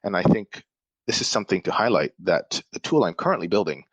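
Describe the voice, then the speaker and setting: A man's voice: sits low, big pitch swings, brisk, slightly rough, no echo, highs cut off. A man from Malaysia, a podcast guest, explaining things thoughtfully in casual talk, often restarting his sentences. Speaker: podcast guest, software developer. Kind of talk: casual interview chat.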